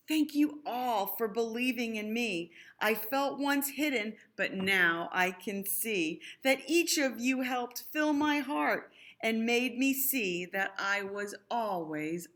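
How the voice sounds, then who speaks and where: A woman's voice: a little high, a bit bright. A woman voicing a small, earnest, easily discouraged male squiggle in a children's picture book, in an exclaiming way.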